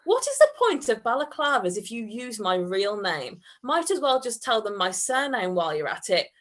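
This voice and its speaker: A woman's voice: on the high side, big pitch swings, normal speed. A woman voicing an exasperated male amateur performer turned bank robber in a comic story, theatrical and sarcastic.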